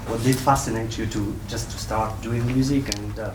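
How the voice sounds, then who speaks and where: Polish guy reporter asking stuff in halting english, off mic, bit of background noise. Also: fairly low pitch, fairly even intonation. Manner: halting, non-native English phrasing. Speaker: journalist asking questions. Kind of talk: press conference questioning. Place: indoor press conference room. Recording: echoey room, distant mic, faint noise.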